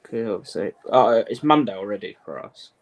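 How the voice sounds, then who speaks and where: A man's voice: on the low side, animated, a little fast. A man chatting casually on a live stream in an easygoing, agreeable, offhand way. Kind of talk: casual chat.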